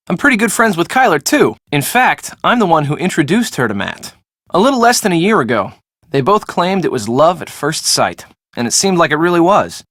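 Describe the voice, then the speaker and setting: A man's voice: fairly high pitch, a bit bright, no room sound, studio quality. An actor playing a defensive, glib young American man in casual, conversational video game dialogue. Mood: earnest.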